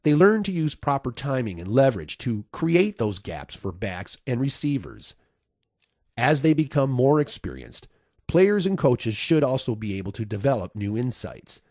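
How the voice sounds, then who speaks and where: A man reading a nonfiction audiobook as narrator, in a measured, instructive way. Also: mid-range voice, sing-song, a little mellow, dry recording, clean studio sound.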